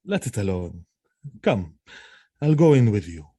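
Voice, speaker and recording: An actor playing a proud military husband troubled by jealousy, speaking Shakespearean verse emphatically and haltingly in an audio drama. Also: male voice, on the low side, big pitch swings, warm tone, slightly rough, dry recording, clean studio sound, heavily acted. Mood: troubled, weary.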